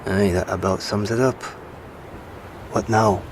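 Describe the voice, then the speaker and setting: A man playing a practical survivor who coaxes a wounded companion, steady and level-headed. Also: fairly low pitch, measured pace, background noise, lightly acted. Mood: wary. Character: dry, practical British male survivor companion. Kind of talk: audio drama acting.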